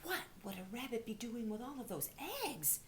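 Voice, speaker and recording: A woman's voice: fairly high pitch, animated, moderate pace, a little hiss or hum, lightly acted. A woman voicing a small, curious male teddy bear from a storybook, earnest and eager. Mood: puzzled.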